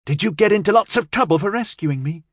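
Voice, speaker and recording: A man voicing an eager, excitable young girl who talks quickly. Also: high-pitched, no room sound, studio quality, voice pushed up.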